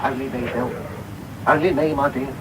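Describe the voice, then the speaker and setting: An actor playing a sly, greedy old crime boss, wheedling and oily, in a radio drama. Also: male voice, medium pitch, steady tone, normal speed, close to an everyday voice. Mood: fawning. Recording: very noisy medium-wave radio broadcast off tape.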